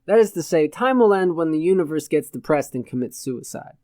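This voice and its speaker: A man's voice: a little high, animated, fairly quick, a little mellow, dry recording, studio quality, close to an everyday voice. A man voicing a pompous, gloomy old philosopher guru in an audiobook, declaiming in wordy pronouncements. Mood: grandiose, gloomy. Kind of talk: audiobook character voice.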